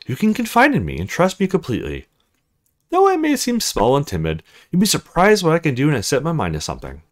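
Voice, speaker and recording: A small, timid young woman , acted out by a man, speaks in a lifted, varied pitch. She is kindly, earnest and apologetic, a visual novel character. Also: huge pitch swings, quick pace.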